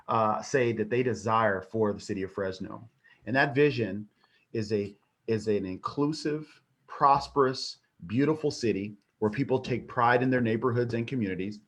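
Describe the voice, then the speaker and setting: A man answers for a city government in a measured, almost speech-like delivery. His pitch sits in an ordinary middle range. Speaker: city official. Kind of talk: prepared panel answer.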